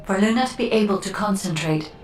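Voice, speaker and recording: An actress voicing a calm, analytical guide in a video game, speaking in a measured, formal way. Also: female voice, mid-range voice, slight background noise, lightly acted. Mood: calm, earnest. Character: calm, analytical female guide advising fighters, earnest and measured. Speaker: guide character. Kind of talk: scripted video game dialogue. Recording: roomy, distant, music underneath.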